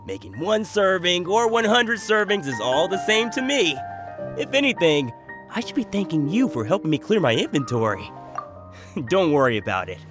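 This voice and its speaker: An actor playing a generous food merchant who laughs and teases often. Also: male voice, on the high side, fairly bright tone. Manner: hearty, laughs often, teasing. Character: jovial male food merchant, generous and joking. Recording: game audio with music.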